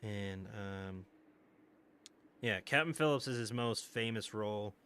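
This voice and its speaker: A man's voice: on the low side, slow pace, fairly bright tone, clear, resonant tone. A man streaming a strategy game, chatting casually with a friend, laughing and swearing often. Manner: laughs a lot, swears, teasing banter. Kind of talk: casual gaming chat.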